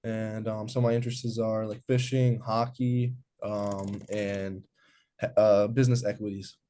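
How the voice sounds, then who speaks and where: Dark-voiced, mellow teenage boy. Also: on the low side, little pitch movement, unhurried. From Florida, United States. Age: teens. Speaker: student panelist.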